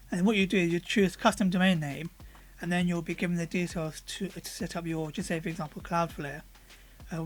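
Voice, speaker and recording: A man with a hoarse voice talks through a software demo over his screen recording. He speaks in a relaxed, conversational way, stopping often for ums and uhs as he clicks through each menu. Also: fairly high pitch. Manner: relaxed, conversational, with frequent fillers. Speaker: product reviewer.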